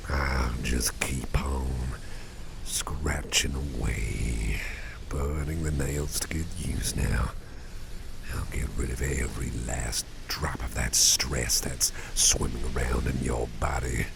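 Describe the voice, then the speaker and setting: Very deep, gravelly man half-whispering slowly. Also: steady tone, heavy background noise. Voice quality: breathy, very low gravelly voice with soft whispering. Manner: gentle, soothing, folksy, unhurried. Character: caring, doting male boss soothing a sleepy employee. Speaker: ASMR roleplay performer playing a caring boss. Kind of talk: intimate ASMR roleplay, half-whispered.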